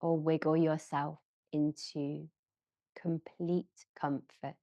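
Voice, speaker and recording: Female meditation guide, low and mellow, gentle measured delivery in short phrases with long pauses. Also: little pitch movement, dry recording. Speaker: yoga nidra guide. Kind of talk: slow guided relaxation meditation.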